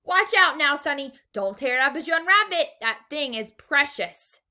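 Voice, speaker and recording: Woman doing a frantic shouting shopkeeper. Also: sits high, expressive, normal speed, voice pushed up, heavily acted. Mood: ecstatic yelling. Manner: yelling, frantic. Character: excitable fat middle-aged male shopkeeper.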